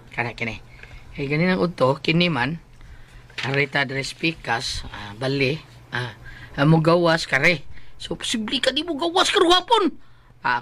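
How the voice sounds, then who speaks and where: A woman playing an ageing, rascally, lottery-mad husband in a comic drama skit, excitable and wheedling, often muttering. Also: low voice, a little hiss or hum. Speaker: character in a comedy skit. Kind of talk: exaggerated comic Filipino drama acting.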